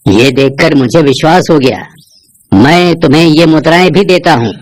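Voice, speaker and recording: A man's voice: fairly high pitch, moderate pace, natural voice. A man voicing a stern, authoritative king in a cartoon folk tale, with an imperious manner. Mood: satisfied.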